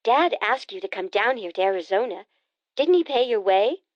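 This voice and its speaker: A woman narrator voicing a brisk, teasing adult sister in an audiobook, pushy and quick to needle. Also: medium pitch, lively intonation, dry recording, dull audio, natural voice. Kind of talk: audiobook character voice.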